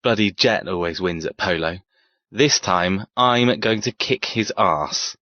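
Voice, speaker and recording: Woman putting on a low-voiced, guarded young horseman. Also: unhurried, fairly bright tone, no echo, lo-fi sound. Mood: sharp, bitter. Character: guarded young male horseman, sardonic, tightly wound.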